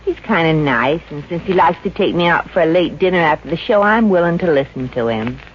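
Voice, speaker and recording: A woman's voice: deep voice, lively intonation, fairly quick, slight background noise. A woman playing a wisecracking, friendly young Brooklyn showgirl with an American accent in a 1950s radio comedy, wry and slangy. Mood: breezy, chatty. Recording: muffled old radio recording with music.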